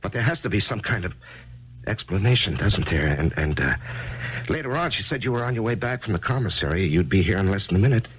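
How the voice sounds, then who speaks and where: An actor in a 1970s radio drama playing a grieving, bewildered writer who stammers and keeps pressing with questions. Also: male voice, lowish voice, big pitch swings, fast talker, husky. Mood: flustered, prying. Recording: dull lo-fi archival radio broadcast.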